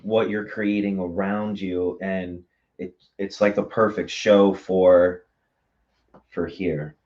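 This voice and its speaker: Earnest low-voiced man, muffled audio. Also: little pitch movement, takes it slowly, darkish tone. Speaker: theater company member and guest. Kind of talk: casual interview talk. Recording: dull, lo-fi sound.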